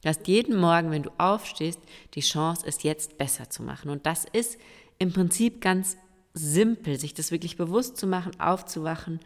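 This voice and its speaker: A woman of around forty, hoarse and stuffy-nosed, hosting a solo podcast and encouraging her listeners directly. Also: lowish voice, moderate pace. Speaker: podcast host. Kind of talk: solo podcast monologue giving tips. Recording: long reverb with faint music.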